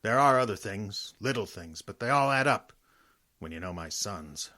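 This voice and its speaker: A wealthy man of sixty-eight played by a male actor in a radio play. His voice is low but bright and forceful. He talks plainly and haltingly, suspicious of his own family. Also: expressive. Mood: uneasy. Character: wealthy 68-year-old father, suspicious of his parasitic sons. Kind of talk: radio drama acting.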